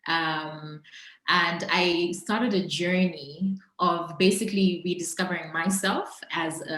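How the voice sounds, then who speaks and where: A woman's voice: on the low side, fairly bright tone. Zambian woman in an echoey room. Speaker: interview guest, entrepreneur. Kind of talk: personal storytelling in a conversational interview. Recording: roomy, echoing sound.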